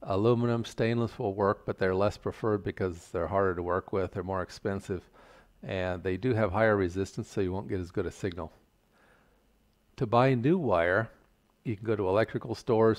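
An older man giving a measured, plain-spoken, step-by-step tutorial explanation. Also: fairly low pitch. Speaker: instructor. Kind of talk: explanatory tutorial narration over slides.

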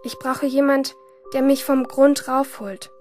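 A woman's voice: sits high, little pitch movement, a little slow, darkish tone. An actress playing a troubled, yearning teenage schoolgirl in an introspective first-person radio drama monologue. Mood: anguished, stifled. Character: troubled, yearning teenage schoolgirl narrating her inner life. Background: music under the voice.

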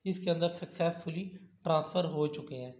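A man's voice: fairly high pitch, brisk, a little mellow. A man, talking viewers through a website on screen and often adding "theek hai". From Pakistan. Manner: repeats "theek hai" often, turns persuasive and emphatic toward the end. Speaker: channel host. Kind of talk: screen-recorded tutorial talk. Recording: echoey, dull lo-fi voice-over.

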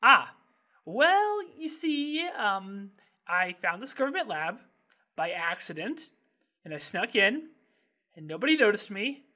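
A woman's voice: mid-range voice, very animated, unhurried, pauses often, sharp tone. A woman voicing a cheerfully clueless, impulsive, hyperactive young boy in a cartoon comedy, rambling and blurting as the character goes. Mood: excited, rambling. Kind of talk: animated comedy narration and dialogue.

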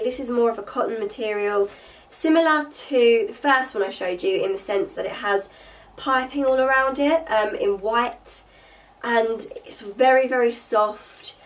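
A young woman with a fairly high voice, stuffed up and nasal from a bad cold. She chats in a bubbly, rambling way full of ums, recorded on a camera mic set a little way off in a bedroom. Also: far from the mic. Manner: chatty, bubbly, lots of fillers. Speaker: vlogger. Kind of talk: casual haul chat to camera. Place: bedroom with vanity table, indoors.

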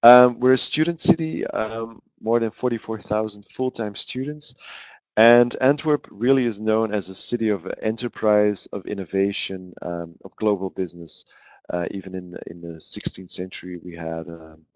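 A man's voice: fairly low pitch, steady tone, normal speed, darkish tone, no room sound. A man, hosting a live university webinar over a headset, hesitant with many fillers and restarts. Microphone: headset on a video call. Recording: dry, dull lo-fi call audio.

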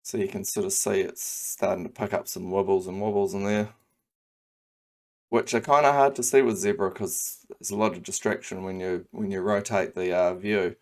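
A man with a New Zealand accent talking through a software modelling tutorial, thinking aloud with frequent ums and restarts. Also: sits low, steady tone, normal speed. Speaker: CAD design tutor. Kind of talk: screen-recorded software tutorial.

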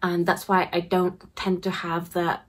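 A Japanese-born woman, chatting to camera in an animated way, often laughing, in her home craft room. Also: fairly low pitch, measured pace. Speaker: craft YouTuber. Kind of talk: casual talk to camera. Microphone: camera facing her at desk distance.

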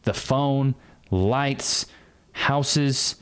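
A man's voice: mid-range voice, extremely slow, clear, resonant tone. A man co-hosting, dropping short reactions and riffing in loose, joking banter. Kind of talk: casual reaction chat.

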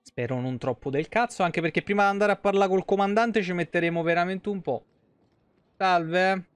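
A man's voice: high voice, normal speed, clear, resonant tone, no room sound. A man in his thirties giving casual, slangy, sweary and sarcastic live commentary on a game. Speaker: game streamer. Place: small room at home, indoors.

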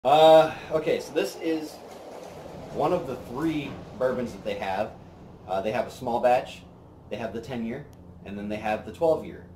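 A young man hosting a whiskey review, chatting casually on an outdoor patio, relaxed and rambling with plenty of fillers. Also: medium pitch, normal speed, background noise. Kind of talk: casual tasting chat. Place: outdoor patio by a brick wall. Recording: roomy outdoor sound with faint ambience.

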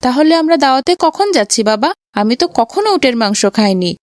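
A woman's voice: high voice, lively intonation, fast talker, darkish tone, dry recording, clean studio sound. A woman voicing an eager, polite, inquisitive young boy in a moral cartoon. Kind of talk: cartoon voice acting in moral story.

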